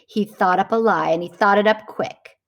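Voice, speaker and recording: A woman reading a rhyming children's story aloud with deliberate, dramatic emphasis. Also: mid-range voice, muffled recording. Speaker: storybook reader.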